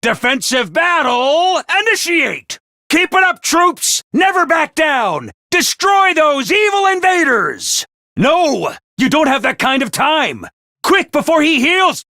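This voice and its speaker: A man voicing a brisk, ruthless academy commander in a video game, barking orders and announcements. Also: extremely high pitch, very animated, sharp tone, dry recording, clean studio sound, heavily acted. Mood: commanding. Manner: clipped orders and announcements, drill-sergeant bark. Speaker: voice actor in a game.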